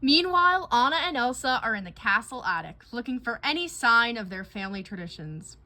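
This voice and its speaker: A woman reads a children's story aloud as its host. Her voice is bright and fairly high, with very animated intonation that follows the storytelling. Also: fairly quick. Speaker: host reading a picture book aloud.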